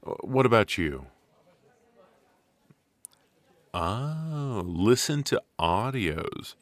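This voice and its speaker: A low-voiced, sociable man played by a male voice actor chats in an ASMR coffee shop roleplay. He takes his time, reassuring and friendly, leaving gaps for an unheard partner. The sound is close and dry. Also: very animated, no room sound. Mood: curious, easygoing. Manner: conversational, reassuring, with pauses for an unheard listener. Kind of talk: ASMR roleplay, casual one-sided conversation.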